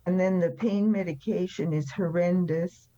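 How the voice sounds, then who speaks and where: A woman's voice: fairly low pitch, fairly even intonation, moderate pace, dark tone, dry recording. An elderly woman telling her personal story as an interview guest, blunt and emphatic in the way she talks. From France. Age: 70s or older. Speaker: interview guest, recovery speaker. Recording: slightly dull, band-limited sound.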